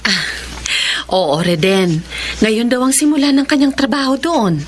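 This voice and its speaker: Animated, worried middle-aged aunt, played by an actress. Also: female voice, on the high side, unhurried, slight background noise. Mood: relieved. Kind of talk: Filipino radio-style drama acting.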